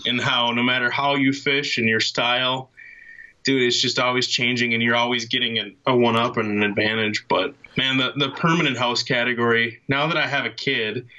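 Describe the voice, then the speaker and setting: A man's voice: medium pitch, fairly even intonation, normal speed, sharp tone. A young man chatting casually as a podcast guest, enthusiastic and slangy, over a video call. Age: young adult. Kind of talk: casual chat. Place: small room at home. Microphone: video call, webcam at arm's length. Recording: dull lo-fi video call audio.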